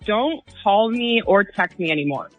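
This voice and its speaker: A young woman with an American accent and a husky voice, speaking bluntly as a radio phone-in caller. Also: medium pitch, animated, normal speed, muffled recording, faint music behind.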